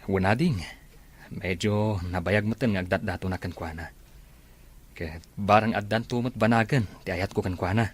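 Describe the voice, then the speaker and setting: A man's voice: on the low side, normal speed, husky. A man with a Filipino accent playing an earnest, polite young suitor in an Ilocano audio drama.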